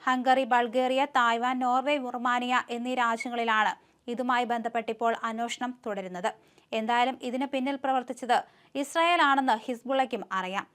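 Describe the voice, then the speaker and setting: High-pitched woman reading news. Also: steady tone, normal speed, a bit bright, clean tone. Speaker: news presenter. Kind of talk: scripted news narration.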